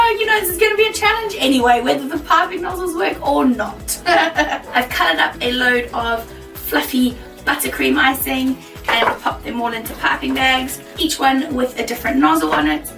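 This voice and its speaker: A woman with a croaky, worn voice chatting casually to camera as a baking vlogger, bubbly and laughing easily. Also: high-pitched, moderate pace, a bit bright, roomy sound, recorded from a distance, faint music behind, poor audio. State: croaky, losing her voice.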